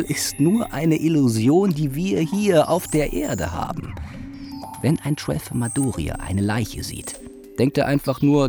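A male actor playing a mild, dreamy older father in a radio drama, calmly and earnestly explaining strange beliefs as plain fact. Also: mid-range voice.

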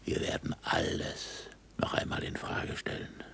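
An actor in a German radio play, playing a stubborn, sardonic aging astronomer with a gravelly, husky voice and deliberate, emphatic delivery. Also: male voice, a bit bright, whispered, natural voice. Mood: intense, deliberate. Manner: deliberate, emphatic speech-making.

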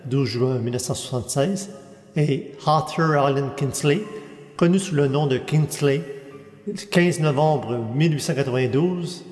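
A man with a somewhat high voice reads a biographical narration at a steady, measured pace. His voice rings through a long, echoing hall, and faint music plays underneath. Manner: steady, measured reading of dates and names.

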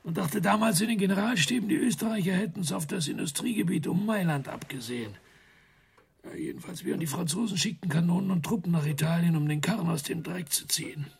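Husky, high-voiced English major. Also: male voice, fast talker, heavily acted. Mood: bitter recounting. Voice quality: husky, creaky, breathy voice. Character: embarrassed English army major, wounded war veteran. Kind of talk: German radio play acting.

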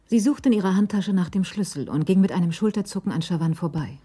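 Low, mellow woman narrator. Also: breathy edge. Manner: measured, literary delivery. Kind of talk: scripted crime drama narration.

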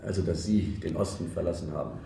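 Deep-voiced, tired young policeman. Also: fast talker, gravelly, warm tone, roomy sound. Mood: puzzled. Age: young adult. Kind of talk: radio drama dialogue.

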